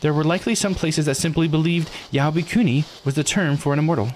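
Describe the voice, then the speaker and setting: A man's voice: fairly high pitch, quick pace, a little mellow, a little hiss or hum, close to an everyday voice. A man voicing an eager, bookish young theorist who explains things earnestly, read aloud from a visual novel.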